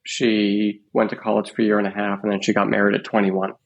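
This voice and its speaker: A man in his fifties, a guest on a podcast, telling his life story in an unhurried, reflective way with many small pauses. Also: fairly low pitch, flat delivery, vocal fry, lo-fi sound. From Canada. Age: 50s. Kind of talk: casual storytelling interview.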